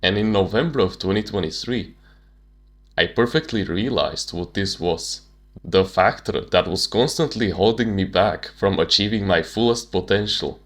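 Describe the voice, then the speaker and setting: Deep-voiced young man. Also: moderate pace, fairly bright tone. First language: Slovak. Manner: casual, slangy, candid. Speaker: self-improvement channel host. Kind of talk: personal storytelling monologue.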